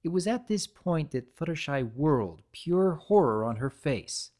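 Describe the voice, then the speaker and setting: A woman's voice: low voice, very animated, a little slow, dark tone. A woman narrating a scripted story as a dramatic reading.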